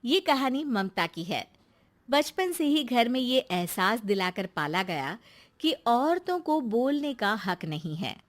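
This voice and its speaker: A woman's voice: on the high side, sing-song, slow pace, a little hiss or hum. A woman narrating a scripted cartoon story as a storyteller.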